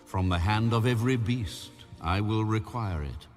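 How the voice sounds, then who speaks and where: A man narrating scripture in a solemn, measured, grave manner with deliberate pauses. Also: lowish voice, faint music behind. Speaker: audio Bible narrator.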